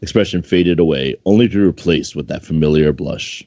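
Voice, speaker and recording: A man's voice: extremely low pitch, a little fast, mellow. A man reading fan fiction aloud in an amateur, casual way, stumbling over the text and commenting on it. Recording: dull, roomy home microphone recording.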